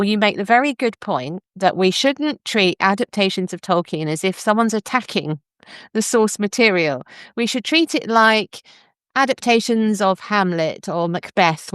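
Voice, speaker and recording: A woman's voice: medium pitch, clean tone, no room sound, clean studio sound. A woman hosting a conversational book interview in an articulate, discursive way. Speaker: podcast host and interviewer.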